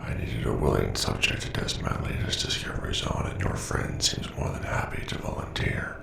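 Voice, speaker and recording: Casting: cold, condescending robot scientist in audio drama, extremely deep voice, echoey track. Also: male voice, steady tone, gravelly, in a whisper, exaggerated voice. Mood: cold, sinister. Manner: condescending, formal, deliberate. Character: cold, logical, menacing male robot scientist. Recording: distant, echoey, noisy voice track.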